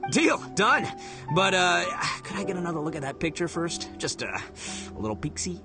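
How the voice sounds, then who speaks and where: A man's voice: sits high, big pitch swings, moderate pace. A man playing a brash, goofy, hot-headed but big-hearted gang boss in an anime video game, cocky and hammy. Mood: eager. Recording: loud music behind the voice.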